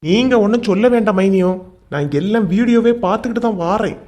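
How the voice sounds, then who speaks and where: A woman playing an angry, domineering young husband in an animated comedy, scolding and accusing in Kanyakumari Tamil. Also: medium pitch, fast, lightly acted. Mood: scolding, exasperated. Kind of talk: animated comedy drama dialogue.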